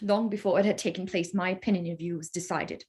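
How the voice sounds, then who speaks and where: A woman acts out a proud young gentlewoman in a Regency drama reading. Her voice has a slightly husky edge and sits at an ordinary female pitch. She phrases everything formally and pointedly, with sharp-tongued indignation. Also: steady tone, fast talker. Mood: indignant. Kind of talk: staged reading of a period drama.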